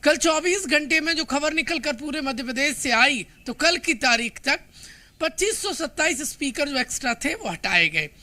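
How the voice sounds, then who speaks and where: A man's voice: very high voice, lively intonation, normal speed, sharp tone. A man with an Indian accent giving an emphatic, opinionated live TV report. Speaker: news reporter.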